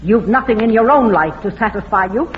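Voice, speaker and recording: A woman's voice: mid-range voice, expressive, fairly quick, natural voice. An actress playing a fiercely protective, vehement mother in a 1940s radio drama. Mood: bitter, threatening. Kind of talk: 1940s radio melodrama acting. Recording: tinny, faintly hissy old radio recording.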